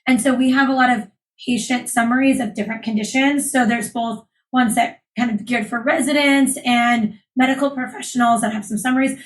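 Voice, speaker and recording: A woman surgeon, calmly explaining voice care as an interview guest. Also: fairly high pitch. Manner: clear, explanatory, calm. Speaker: laryngologist guest. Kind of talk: interview, explaining medical topics.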